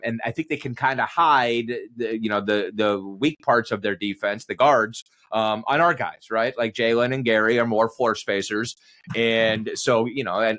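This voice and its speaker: A man giving conversational sports analysis as an announcer and guest, measured and thinking aloud, leaning on his key points. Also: fairly low pitch, fairly bright tone. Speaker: sports radio play-by-play announcer, podcast guest.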